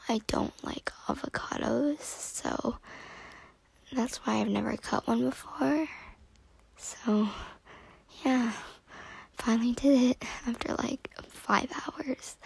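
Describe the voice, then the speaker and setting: Whispery girl, deadpan joking voice-over. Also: a little high, no room sound, poor audio. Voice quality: hushed and breathy, near-whispered. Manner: joking, deadpan self-mocking asides. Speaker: home cooking video host. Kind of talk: self-deprecating voice-over narration. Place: home kitchen.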